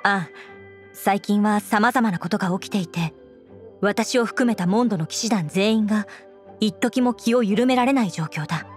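An actress playing an earnest, composed young woman knight commander in an anime game, speaking in a formal, measured, dutiful way. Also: medium pitch, faint music behind, close to an everyday voice.

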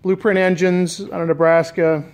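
An American man with a voice pitched a little above average talks in a casual, unscripted way. He sounds like a hobbyist restorer showing his work, pausing hesitantly as he thinks aloud. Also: level tone, a little mellow. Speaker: DIY boat restorer and vlogger. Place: garage with concrete floor. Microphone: handheld camera, operator behind it.

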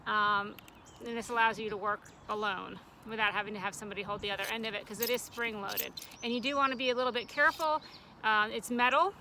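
A middle-aged woman with an American accent and a high voice explains things in a plain, informal teaching style. She is recorded outdoors on a camera microphone, with faint ambient noise behind her. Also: bright, forceful tone. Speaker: extension forester, instructor. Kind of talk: informal instructional demonstration. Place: outdoors in a grassy, tree-lined park.

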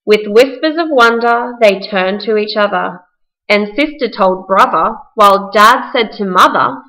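A woman's voice: medium pitch, a little slow, bright, forceful tone, low-quality recording. A woman narrating a rhyming children's story for a puppet show, reading from a script.

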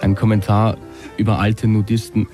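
Low, mellow deadpan man. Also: normal speed, faint music behind. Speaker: comedian reading his own comic story. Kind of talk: deadpan comic reading with casual chat.

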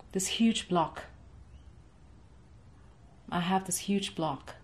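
A young woman coaching a guided tapping session from her home office, speaking in slow, soothing, rhythmic repeated phrases for the listener to echo. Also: on the low side, a little mellow. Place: home office with framed certificates, indoors.

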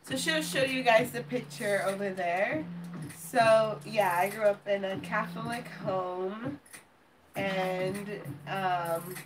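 A woman's voice: mid-range voice, slow pace, far from the mic, background noise. A woman of about thirty with an American accent, hosting a casual livestream chat for viewers. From Los Angeles, United States. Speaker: video channel host. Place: home kitchen, indoors. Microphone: camera a few feet away. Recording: roomy pickup away from the mic, music behind.

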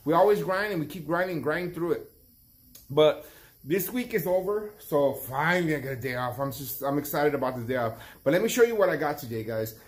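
A man's voice: fairly high pitch, lively intonation, fairly quick. A middle-aged man chatting casually to his vlog viewers as a barber and shop owner, often repeating phrases for emphasis. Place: outside a storefront, later inside a barbershop. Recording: noisy ambient background.

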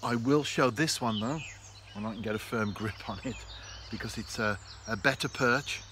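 A man, talking to camera in a relaxed, reflective way while fishing on a canal bank. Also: mid-range voice, normal speed. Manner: chatty, reflective, speaks straight to camera. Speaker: fishing vlogger. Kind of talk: relaxed outdoor vlog narration. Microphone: camera close in front. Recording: faint outdoor noise. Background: birds and countryside ambience.

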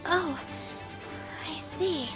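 An actress playing a shy, polite young woman in a video game, timid and halting in her speech. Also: extremely high pitch, music in the background, exaggerated voice. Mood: tentative surprise. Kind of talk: video game cutscene acting.

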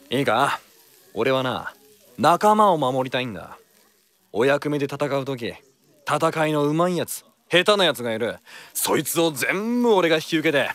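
An actor playing a blunt, bossy but protective older-brother comrade in a radio drama. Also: male voice, medium pitch, fairly bright tone, no room sound. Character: gruff, protective senior male comrade, bossy mentor. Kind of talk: anime-style radio drama acting.

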